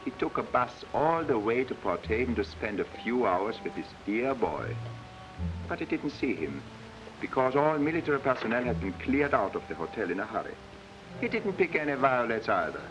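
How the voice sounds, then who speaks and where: An actor playing a gloating, contemptuous Nazi agent who narrates a 1940s propaganda film in a clipped, imperious manner. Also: male voice, medium pitch, big pitch swings, moderate pace, natural voice. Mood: sneering. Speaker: narrator of a military training film. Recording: muffled 1940s film soundtrack, music behind.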